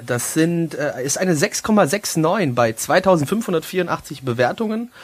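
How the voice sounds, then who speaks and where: A man hosting a casual anime review chat, talking in a halting, joking, self-mocking way. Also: on the high side, lively intonation, husky. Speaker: host of an anime review show.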